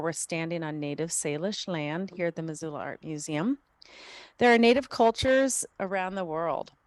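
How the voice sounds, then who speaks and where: A woman's voice: on the low side, no echo. A woman hosting a museum event, introducing a guest in a prepared, formal way.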